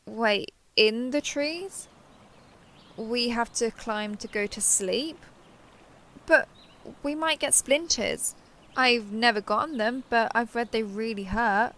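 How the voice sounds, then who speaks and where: A young female alien played by a woman speaks in a high voice laced with creaky fry. She is sheltered, earnest and wheedling, over-explaining herself with an obsessive devotion. The delivery is intimate audio roleplay, aimed straight at the listener. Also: slow pace, fairly bright tone. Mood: naive, defensive.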